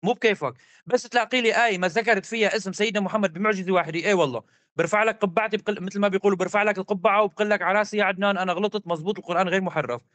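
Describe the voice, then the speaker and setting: A man's voice: high-pitched, a bit bright, no room sound. A man arguing hard in a religious debate, insistent and repetitive. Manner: rapid, insistent, argumentative, repeats demands.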